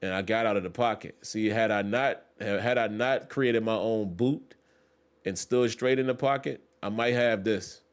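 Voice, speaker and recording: A man's voice: lowish voice, moderate pace, clean tone. A man streaming a football video game, talking casually through his own plays and thinking aloud, often repeating himself as he coaches himself along. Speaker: gaming streamer. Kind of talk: casual gameplay commentary.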